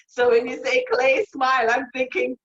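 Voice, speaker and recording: Lady hosting an interview, big animated praise, roomy echo, kinda muffled. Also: high-pitched, normal speed. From Barbados. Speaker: interview host. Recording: echoey, dull sound.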